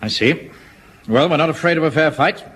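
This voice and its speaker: An actor playing a pompous, self-important middle-aged home guard captain in a radio sitcom. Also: male voice, a little high, a little fast, fairly bright tone, husky. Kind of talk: 1970s radio sitcom acting. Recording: old radio recording with faint hiss.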